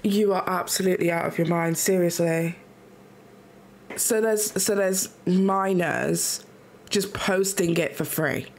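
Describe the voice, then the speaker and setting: Nasal woman with gravelly edge. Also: on the low side, steady tone, measured pace, a little mellow. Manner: earnest, supportive, frequent ums. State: sick, nasal. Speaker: interviewer. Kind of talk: video interview.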